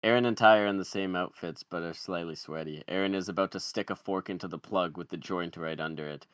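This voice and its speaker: A man's voice: deep voice, a little fast, fairly bright tone, clear, resonant tone, no echo, studio quality. A man reading screenplay stage directions as scripted narration.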